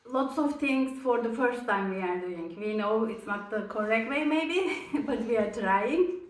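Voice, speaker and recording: A woman from Turkey, a native Turkish speaker, co-hosts a homestead vlog in easy, casual chat. She laughs often and talks in short, simple phrases. Her voice sits a little high and comes from some distance in a roomy space. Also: lively intonation, measured pace. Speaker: channel co-host.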